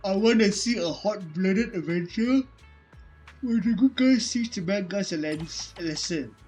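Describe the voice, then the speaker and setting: Higher-pitched man reading game text haltingly. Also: darkish tone, faint music behind. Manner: halting, stumbles over words when reading. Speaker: gaming streamer. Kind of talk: reading game dialogue aloud during a playthrough.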